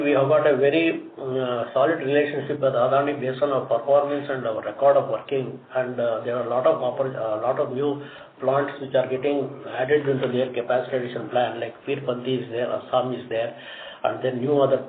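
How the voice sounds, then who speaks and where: An Indian-accented man speaks as a company executive in an explanatory way. The sound is thin and phone-like, with clear room echo and faint noise behind him. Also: mid-range voice. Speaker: company executive on an earnings call. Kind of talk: answering analyst questions on a conference call. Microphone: conference phone line. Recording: tinny phone line, echoey room, background noise.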